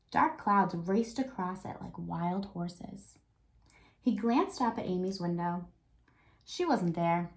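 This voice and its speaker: A woman's voice: medium pitch, a little mellow. Woman teacher reading aloud to children. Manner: thinks aloud with prompting questions and check-in tags. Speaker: elementary school teacher. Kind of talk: read-aloud reading lesson for young children.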